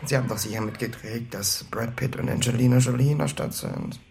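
A man's voice: medium pitch, steady tone, a little fast, creaky, darkish tone, lightly acted. A man acting in a radio play as a frantic, glib small-time con man who stammers and pleads. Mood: glib, urgent.

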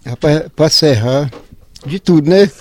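A man's voice: on the high side, measured pace, no room sound. A man with a rural Northeastern Brazilian accent from Ceará, explaining things informally in plain colloquial speech as an interviewee. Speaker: interviewee, flour-house worker.

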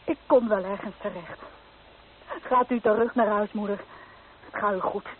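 A proud, wounded young woman played by an actress speaks earnestly in a radio play, at a medium pitch. The old recording is tinny and faintly noisy. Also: fairly even intonation, moderate pace, close to an everyday voice. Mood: proud, hurt. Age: young adult. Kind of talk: radio drama acting.

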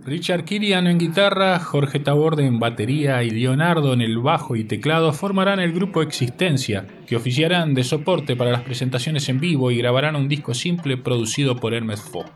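A man's voice: mid-range voice, expressive, normal speed, few pauses. A man with a Uruguayan accent reads a scripted biographical narration as the presenter of a radio podcast.

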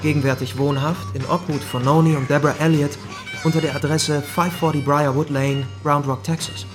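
Ein Schauspieler spielt in einem Krimihörspiel einen jungen Anwalt, der förmlich und bedächtig im Auftrag von Gangstern unterschwellig droht. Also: male voice, fairly high pitch, flat delivery, close to an everyday voice. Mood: coolly menacing. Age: young adult. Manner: formal, deliberate, veiled threat. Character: young male lawyer, coolly menacing mob go-between. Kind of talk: crime radio drama acting. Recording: loud music behind.

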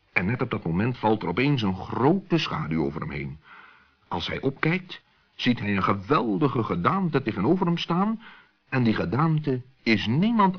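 A man narrating a children's story as an expressive storyteller. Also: mid-range voice, breathy edge. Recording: dull, lo-fi old recording.

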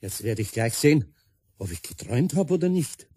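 A man's voice: mid-range voice, big pitch swings, a little fast, warm tone, breathy edge, no echo. A man playing a good-natured, grumbly, bewildered older master carpenter with a Bavarian accent in a radio play. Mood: anxious, searching.

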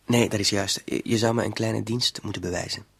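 An actor playing a shady small-time crook in a radio play, hesitant yet smooth-talking and insinuating, coaxing with a quiet threat underneath. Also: male voice, fairly low pitch, fairly even intonation, a little fast. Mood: coaxing, evasive. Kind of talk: Dutch-language radio play acting.

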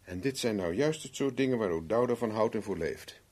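An actor with a Netherlands Dutch accent playing a shrewd, self-assured private investigator in a 1970s radio play, measured, persuasive and knowing. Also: male voice, on the low side, lively intonation, darkish tone, slightly rough. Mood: knowing, insistent.

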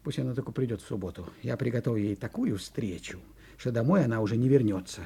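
A man playing a blustering, excitable, politely fussy butcher in a children's audio play, with an emphatic, exclamatory delivery. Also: medium pitch, fast talker, creaky, husky. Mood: indignant.

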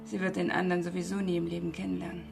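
A woman's voice: fairly low pitch, flat delivery, rapid-fire, vocal fry, darkish tone. An actress playing a distraught, embittered mother in a radio drama, confiding in an agitated way that swings between outburst and murmur. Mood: confessional. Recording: prominent music under the voice.

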